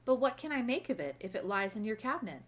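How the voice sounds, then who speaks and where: A woman's voice: a little high, very animated, fast, pitched above the performer's own voice. Woman voicing an eager little storybook princess, lilting upward. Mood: excited. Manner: exclaiming, wide-eyed questioning. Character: eager, polite little princess, sweet and curious. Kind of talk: storybook read-aloud character voice.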